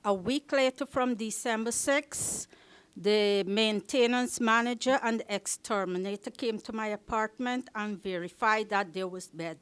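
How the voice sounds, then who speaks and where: A woman's voice: mid-range voice, fairly bright tone, clear, resonant tone, no echo. A woman resident making an earnest public comment at a housing board meeting, repeating her points as she pleads her case. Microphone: meeting microphone.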